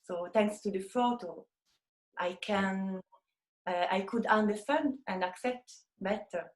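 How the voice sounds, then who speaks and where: A woman from Italy with an Italian accent, a photographer talking in an interview and pausing often to find her words. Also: medium pitch, roomy sound, poor audio. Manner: hesitant, searching for words with many fillers. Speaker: photographer, guest.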